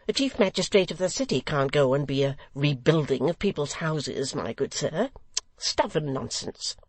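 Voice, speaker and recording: A woman's voice: low voice, expressive, normal speed, no echo. A woman voicing a testy, flustered male Lord Mayor who dithers and fusses. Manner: fussy, dithering.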